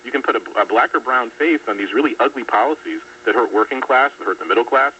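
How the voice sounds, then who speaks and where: A man appearing as a guest commentator on a political talk radio show, arguing in a fast, emphatic, polemical way. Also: medium pitch, bright, forceful tone. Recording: distant, muffled radio rebroadcast with faint hum.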